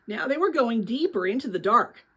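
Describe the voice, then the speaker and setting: Expressive, slightly husky woman reading a storybook. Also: fairly high pitch. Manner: expressive, pauses to address young listeners with questions. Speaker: read-aloud narrator of a children's novel. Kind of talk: dramatic storybook read-aloud.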